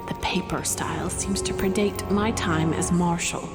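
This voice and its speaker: A woman acts out a no-nonsense royal marshal in a fantasy audio drama. Her voice is lowish and commanding, her manner matter-of-fact. Loud music plays behind her. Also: steady tone, normal speed, lightly acted. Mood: grave recollection. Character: brisk, no-nonsense female king's marshal of an underground city.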